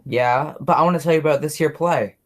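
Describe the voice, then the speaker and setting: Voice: mid-range voice, brisk, close to an everyday voice. A performer playing a folksy, friendly neighborhood cop. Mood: eager, chatty. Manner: folksy, colloquial. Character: friendly, dim policeman and would-be playwright. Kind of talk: radio play acting, high school theatre.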